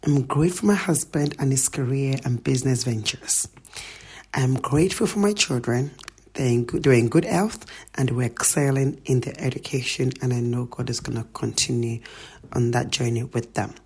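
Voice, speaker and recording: A middle-aged woman reading a prepared gratitude message in a measured, earnest way. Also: extremely low pitch, a little mellow, breathy edge. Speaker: guest sharing a gratitude message.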